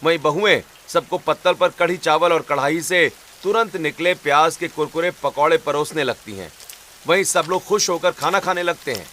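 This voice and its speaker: A man's voice: fairly high pitch, moderate pace, pushed and bright, a little hiss or hum. A man reading scripted narration for a cartoon story.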